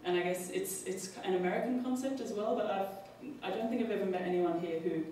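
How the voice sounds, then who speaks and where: An Australian woman speaking at a panel discussion, halting often with fillers and restarts. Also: medium pitch, warm tone. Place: large public hall. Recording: echoey hall, distant mic, faint noise.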